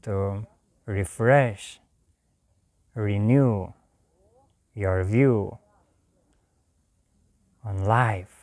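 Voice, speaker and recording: A man from Lithuania with a Lithuanian accent, giving a calm, meditative spiritual talk in a slow, soft way with long pauses. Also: on the low side, dry recording. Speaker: spiritual teacher.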